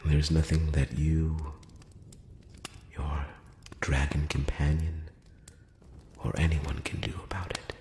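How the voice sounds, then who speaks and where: A man voicing a solemn, probing elf emissary in a breathy whisper, speaking formally and deliberately in a close-up ASMR fantasy roleplay. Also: a bass voice, little pitch movement, mellow, reverberant, slight background noise, heavily acted. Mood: musing, solemn. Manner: slow, deliberate, formal, with long pauses. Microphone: close-up microphone.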